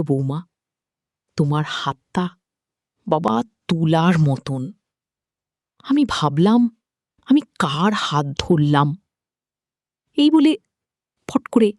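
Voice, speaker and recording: A woman's voice: sits low, dark tone, no echo, studio quality. A woman narrating a story informally and dramatically, as a storyteller.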